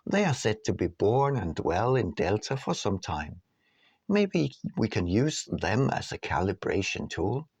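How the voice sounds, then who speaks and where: A man reading his own book aloud as scripted audiobook narration, in measured, deliberate phrases. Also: fairly low pitch, gravelly, a little mellow, no echo.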